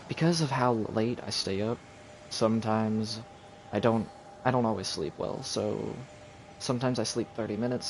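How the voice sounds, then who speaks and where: A man's voice: mid-range voice, creaky, noisy background. A man streaming a video game, chatting casually and thinking aloud as he plays. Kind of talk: casual gameplay commentary. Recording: game music loud behind.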